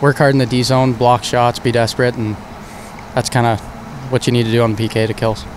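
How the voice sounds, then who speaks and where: A man's voice: medium pitch, level tone, fairly quick, noisy background. A young man, a hockey player, giving short laid-back postgame answers full of "kinda" beside the rink. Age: young adult. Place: indoor hockey arena rinkside. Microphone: handheld mic held by interviewer.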